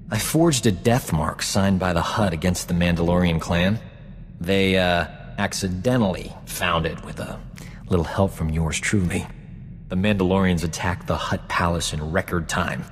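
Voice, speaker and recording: A lowish-voiced man played by an actor, a self-satisfied rogue medic from a space adventure game. He is glib, flirty and full of himself, in long reverb. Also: moderate pace, slight background noise, lightly acted. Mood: boastful. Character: cocky, flirtatious, boastful male rogue space doctor. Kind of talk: video game dialogue acting.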